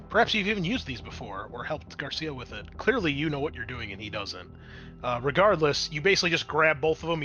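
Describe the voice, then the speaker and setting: Bright-voiced man running a tabletop game. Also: mid-range voice. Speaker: game master. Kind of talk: narrating a tabletop roleplaying game.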